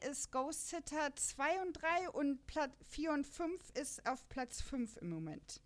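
A chatty woman hosts a relaxed podcast conversation and often laughs mid-sentence. Her voice sits a little higher than most. She keeps the talk moving in an easygoing way. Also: takes it slowly. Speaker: podcast host. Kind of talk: casual podcast chat about radio dramas.

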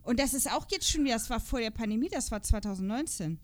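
A woman's voice: fairly high pitch, expressive, fast talker. A woman co-hosting a podcast in a casual chat, speaking in an informal, colloquial and playfully teasing way. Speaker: podcast co-host.

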